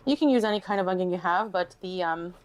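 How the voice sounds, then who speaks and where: A woman's voice: on the low side, fast talker, darkish tone. A woman casually explaining recipe steps as a home cooking vlogger, recorded in her kitchen.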